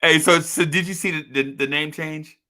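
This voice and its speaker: A man banters with a bright, sharp voice, teasing his co-host and joking around. He talks in a loose, playful way and drops "bro" often. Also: a little high, steady tone, normal speed. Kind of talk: casual sports radio banter.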